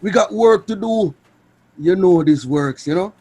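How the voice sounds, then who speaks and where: An actor playing a pushy, slick scam boss in a radio drama. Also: male voice, sits high, expressive, unhurried, a little mellow, slightly rough, exaggerated voice. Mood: pushy, smug.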